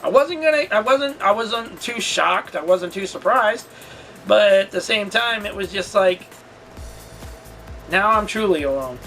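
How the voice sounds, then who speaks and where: High-voiced man, candid storytime monologue. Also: lively intonation, pushed and bright, faint music behind. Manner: halting, candid, rambling. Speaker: storytelling vlogger and channel host. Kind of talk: personal storytime monologue, casual.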